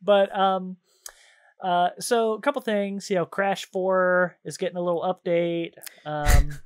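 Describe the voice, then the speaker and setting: A man's voice: high-pitched, fairly even intonation, a little slow. A man hosting a podcast, chatting casually about video games in an informal, slangy, easily amused way. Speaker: podcast host.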